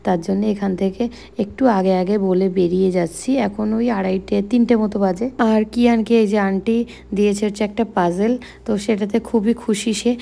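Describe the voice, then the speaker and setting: West Bengali woman with a very creaky voice. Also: medium pitch, fairly even intonation, normal speed, mellow. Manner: chatty, explanatory. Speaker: vlogger. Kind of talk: casual vlog voice-over narration.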